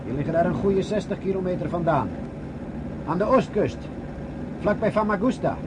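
An actor playing a deferential, talkative local taxi driver in a Dutch radio drama, explaining things in an obliging way. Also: male voice, high voice, expressive, normal speed. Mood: resigned, chatty. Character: deferential, chatty Cypriot taxi driver, proud local man. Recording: loud engine noise behind voice.

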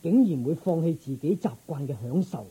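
An actor playing a respectful, attentive royal attendant in a Cantonese radio drama, formal and deferential. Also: male voice, on the high side, animated, a little fast, mellow, slightly rough, rough recording. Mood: humbly puzzled.

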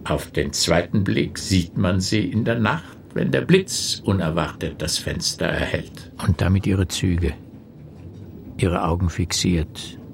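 A man's voice: deep voice, creaky, slight background noise, heavily acted. An actor playing a brooding man who questions and accuses insistently, in a poetic German radio play. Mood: probing. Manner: literary, deliberate, insistent questioning.

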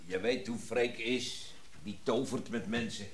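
An actor playing a grumbling, dedicated tinkerer in a naturalistic radio drama. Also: male voice, lowish voice, measured pace, echoey room, a little hiss or hum, natural voice. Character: grumbling, dedicated male tinkerer restoring a mechanical instrument.

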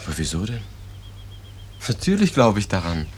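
Deep-voiced husband in 50s german radio play, soothing but evasive, voice moves around a lot, slight hiss. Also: male voice, huge pitch swings, moderate pace. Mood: forced cheer. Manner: reassuring, hesitant. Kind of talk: 1950s German radio drama acting. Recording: noisy early 1950s radio recording.

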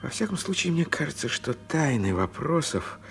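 An actor playing an earnest, excitable male planetary scientist, emphatic and thinking aloud in a radio drama. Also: fairly high pitch, normal speed, gravelly, background noise. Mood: pondering.

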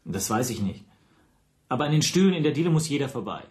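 An earnest, defensive man under questioning, played by a male actor in a naturalistic radio drama. His medium-pitched voice is plain and precise, quick to take offence. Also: very animated, a little mellow, lightly acted. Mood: cooperative, defensive. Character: earnest, indignant detained language teacher, an innocent man.

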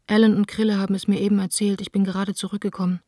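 An actress performs a disheartened young woman in a radio play, speaking her letters aloud. Her voice is soft-edged and dark, with very little melodic movement, subdued and intimate in a dry studio. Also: medium pitch, little pitch movement, very fast, no room sound, natural voice. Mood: shaken, pleading. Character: Anna, disheartened young woman theatre director writing letters. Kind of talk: radio drama letter monologue.

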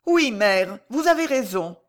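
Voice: medium pitch, sing-song, unhurried, pitched above the performer's own voice. A woman voicing a devoted young daughter in an audiobook novel, emotional and respectful. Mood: dutiful.

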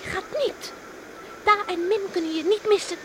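A woman playing a headstrong, warm-hearted young farm girl in a radio drama, plaintive and insistent. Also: very high-pitched, moderate pace. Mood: stubborn, worried. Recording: noisy old radio play recording.

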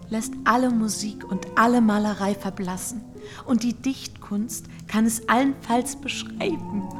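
An actress playing a gentle, dreamy, nature-loving young woman in a German radio drama, speaking with earnest feeling. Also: a little high. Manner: earnest, rapturous. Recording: music behind the voice.

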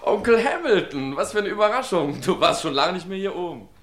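An excitable nephew in a vintage crime radio play. His voice sits very high and leaps about, exclaiming with exaggerated surprise. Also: fairly quick. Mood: surprised. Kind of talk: old German crime radio play acting.

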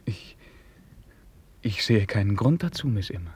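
An actor playing a sheltered, well-bred young prince in a radio drama, formal, hesitant and earnest. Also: male voice, lowish voice, very animated, measured pace, a little mellow, slightly rough, full character voice. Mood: shy, courteous. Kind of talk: German radio drama acting.

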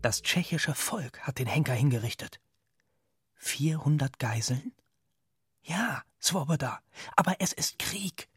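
An intense, earnest man in a radio drama. His voice sits a little high for a man, and clear music plays underneath. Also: lively intonation, measured pace, a bit bright, no room sound, exaggerated voice. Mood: urgent, insistent. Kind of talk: German radio play acting.

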